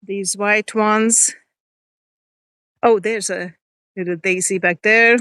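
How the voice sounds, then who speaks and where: Woman host of a walking live stream, smooth and clear, talks casually to viewers. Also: medium pitch, fairly even intonation, bright, forceful tone, no room sound. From Hungary. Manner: chatty, reads viewer comments aloud, pauses and restarts. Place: outdoors on a forest walk.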